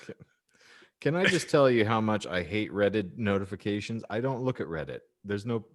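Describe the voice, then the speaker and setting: A man's voice: lowish voice, sing-song, moderate pace. A man hosting a podcast, reading a story aloud with theatrical, mock-earnest flair and jokey asides. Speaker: podcast host and story reader. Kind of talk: comic audiobook narration with casual asides.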